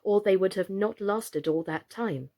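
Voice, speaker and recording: A wise and kindly lady doll from a storybook, voiced by a woman reader. She explains things patiently, slow and measured, sitting calmly below the livelier voices around her. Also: medium pitch, lightly acted. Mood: patient explaining.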